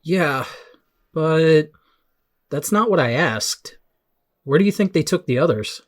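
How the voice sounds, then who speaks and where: A man's voice: a little high, expressive, takes it slowly. A man playing a popular teenage jock in an audio drama, concerned and direct.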